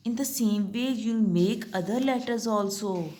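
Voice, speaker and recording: A woman's voice: mid-range voice, dark tone. A young woman teacher with a Pakistani English accent, patiently walking young pupils step by step through their summer tasks. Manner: slow, patient, step-by-step instruction.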